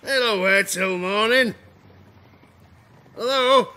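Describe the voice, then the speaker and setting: Old sea drunk, high gravelly voice, slow rambling delivery, archaic sailor talk, audio drama. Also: male voice, sharp tone, slight background noise, full character voice. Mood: uneasy. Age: older. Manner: rambling, archaic seafaring dialect.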